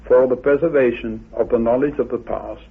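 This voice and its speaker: Tinny recording of a husky, creaky man. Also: medium pitch, steady tone, brisk. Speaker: expert guest on ancient legends. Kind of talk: expert account reading from historical sources. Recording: tinny phone-like old radio recording.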